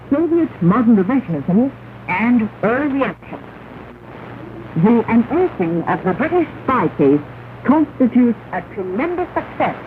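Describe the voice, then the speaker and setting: Woman announcer on scratchy, tinny shortwave radio. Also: fairly high pitch. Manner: formal, declamatory, deliberate phrasing. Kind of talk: 1960s propaganda radio news reading. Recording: scratchy 1968 shortwave radio, narrow band, hiss.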